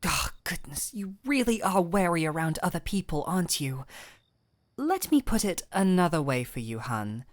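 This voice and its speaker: A woman playing a gentle but firm fantasy physician, calm and wry, in an intimate audio roleplay with measured, coaxing delivery. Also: on the low side, no room sound. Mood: patient, exasperated. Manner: measured, coaxing, wryly stern. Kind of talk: intimate scripted audio roleplay addressed to the listener.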